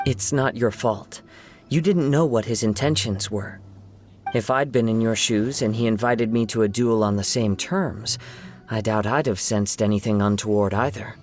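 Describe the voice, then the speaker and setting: An actor playing a calm, gentle young man in a video game, reassuring and formal in his wording. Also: on the low side, moderate pace, faint music behind, close to an everyday voice. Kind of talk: video game character acting.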